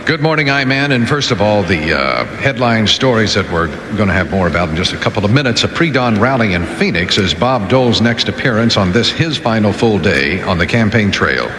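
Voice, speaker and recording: Bright, animated male radio newsreader. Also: fairly low pitch, a little fast, few pauses. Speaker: radio news reader. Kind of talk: scripted radio news bulletin. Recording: echoey, reverberant, faint background noise.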